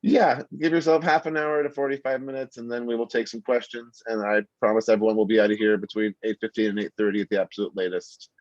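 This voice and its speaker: A man's voice: mid-range voice, fairly even intonation, quick pace, hardly any pauses, darkish tone, dry recording. A man hosting an online lecture over a video call, talking earnestly with many fillers. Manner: earnest, with frequent fillers and restarts. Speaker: host. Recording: dull dry video call audio.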